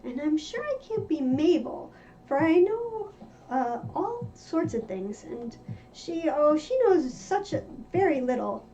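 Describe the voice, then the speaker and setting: A woman's voice: high voice, very animated, measured pace, dark tone, a little hiss or hum, voice pushed up. A woman voicing an anxious, bewildered little storybook girl in a dramatic, exclaiming manner. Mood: puzzled, fretful. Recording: muffled lo-fi with music behind.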